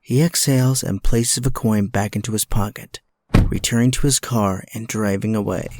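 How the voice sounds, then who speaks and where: A man's voice: on the low side, fairly even intonation, normal speed, a little mellow, a little hiss or hum. A man narrating an audio drama in a scripted, dramatic storytelling style.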